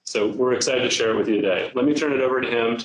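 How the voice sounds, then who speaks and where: An upbeat man who is a sales executive opens a business presentation with enthusiasm. His voice sits at a middle pitch. The recording has a noticeable roomy echo. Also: quick pace.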